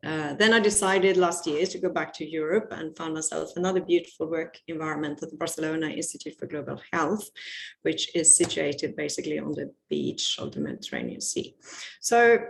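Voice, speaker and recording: A woman's voice: deep voice, expressive, fairly quick, gravelly, slight background noise, low-quality recording. A middle-aged woman with a Swedish accent, presenting her research in a webinar over a video call, chatty and self-deprecating with frequent restarts. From Sweden. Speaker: guest speaker, researcher.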